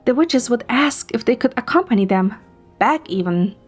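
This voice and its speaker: Playful high-voiced young woman, acted by a woman. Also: normal speed, soft background music. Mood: excited, curious. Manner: teasing, animated, expressive. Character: playful, chatty, curious young woman teasing her friend. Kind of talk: amateur audio drama acting.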